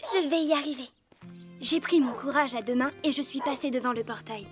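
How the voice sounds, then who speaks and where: A woman voicing a kind, earnest teenage girl rider in a French cartoon dub, expressive and encouraging. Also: high voice, a little fast, faint music behind, natural voice. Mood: earnest, reassuring.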